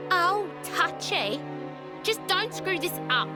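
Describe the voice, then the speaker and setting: A woman's voice: very high-pitched, animated, slow pace, over music, full character voice. A woman playing a brash, cocky young rebel leader in a video game, blunt, slangy and mocking. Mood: teasing, cocky. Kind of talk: video game cutscene voice acting.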